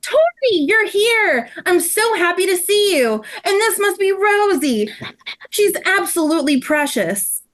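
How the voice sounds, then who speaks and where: A woman's voice: very high-pitched, big pitch swings, a little slow, fairly bright tone, heavily acted. A woman playing an affectionate, enthusiastic friend in a table read of a children's story. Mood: delighted. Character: warm, eager friend Paprika, welcoming and sweet.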